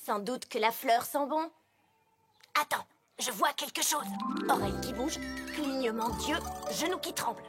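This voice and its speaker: A woman's voice: high-pitched, lively intonation, a little slow. A woman voicing a studious, skeptical female unicorn pony in a French cartoon dub, precise and lecturing. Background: loud music score.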